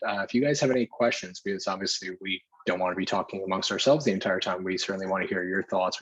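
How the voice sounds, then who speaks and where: A man's voice: on the low side, fairly bright tone, husky, lo-fi sound. A man hosting an online discussion in a conversational, somewhat wordy and roundabout way. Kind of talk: conversational event moderating.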